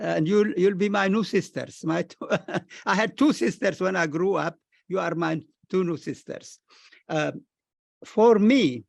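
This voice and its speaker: A high-voiced man with a gravelly creak speaks in a close, dry recording. He is halting and repetitive, offering slow, reflective remarks that sound like a blessing. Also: no room sound. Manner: halting, repeats words and phrases. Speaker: scholar, panelist.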